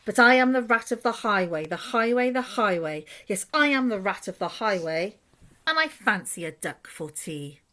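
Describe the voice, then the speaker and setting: A woman voicing a mean, greedy male rat highwayman, loud, commanding and boastful, in a children's storybook. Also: animated, normal speed. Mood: menacing. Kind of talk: children's storybook read aloud.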